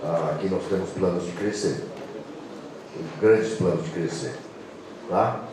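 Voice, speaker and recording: A man with an English accent and a low voice explains things informally to an audience. His phrasing is halting, that of a native English speaker presenting as a guest. He sounds far from the microphone in a heavily echoing room. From England. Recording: echoey room, distant mic, clear noise.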